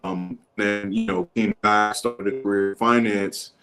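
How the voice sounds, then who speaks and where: A laid-back man from the Bronx, a podcast guest telling his story in a relaxed, reflective way. His voice sits low and easy. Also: measured pace, music in the background. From the Bronx, New York; Dominican.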